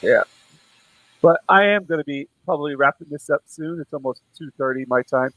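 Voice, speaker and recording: A man hosting a live art stream, losing his voice and talking in a relaxed, rambling way with many fillers. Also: fairly high pitch, brisk, dry recording, low-quality recording. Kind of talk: casual late-night chat.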